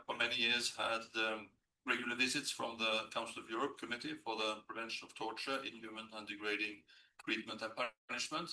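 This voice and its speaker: A man from Norway talks informally on a conference panel, often pausing and restarting his sentences. His voice carries from a distance. The room is large and echoing. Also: lowish voice, steady tone, bright, forceful tone, slight background noise. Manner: informal, frequent fillers and restarts. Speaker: government policy official, panelist. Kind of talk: unscripted conference remarks. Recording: echoey room, distant mic.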